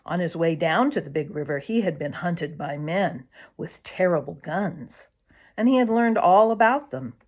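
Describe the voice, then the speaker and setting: A woman reading a children's storybook aloud as a storyteller, with dramatic swells and emphatic pauses. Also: lowish voice, lively intonation, a little mellow. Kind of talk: expressive children's storybook narration.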